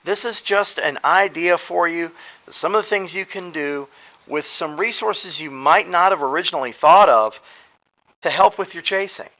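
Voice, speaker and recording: A man's voice: sits high, moderate pace, bright, forceful tone. A man presenting radio gear in an informal show-and-tell, talking straight to viewers in an emphatic, explanatory way. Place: garage partly sunk into the ground.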